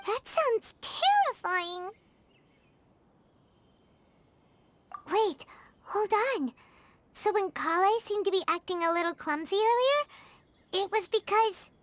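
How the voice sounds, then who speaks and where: A woman voicing a tiny, childlike, earnest female fairy companion in a video game, with a squeaky, openly expressive delivery. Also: unhurried, no echo. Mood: alarmed. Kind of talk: scripted video game dialogue. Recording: music behind the voice.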